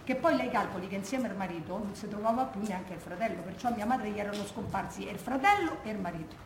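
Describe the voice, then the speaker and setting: Actress as insistent Roman daughter, echoey room. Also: female voice, medium pitch, animated, fast talker, lightly acted. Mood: earnest, insistent. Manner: colloquial, insistent, conversational. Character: earnest working-class Roman daughter recalling her lost father. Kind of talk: theatrical testimony monologue. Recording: roomy echo, faint background noise.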